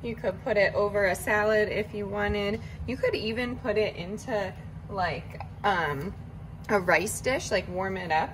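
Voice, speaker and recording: A woman chatting casually as she walks through her meal prep in a home kitchen, relaxed and full of fillers. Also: medium pitch, background noise. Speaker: vlogger cooking meal prep. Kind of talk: casual cooking narration.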